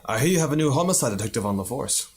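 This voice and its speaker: A man's voice: medium pitch, expressive, racing, darkish tone, natural voice. Man acting a glib noir photographer, noisy recording. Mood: casual, probing. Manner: glib, slangy, familiar. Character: breezy, wisecracking male 1950s commercial photographer, war veteran. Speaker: actor in a radio drama. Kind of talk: noir radio drama acting.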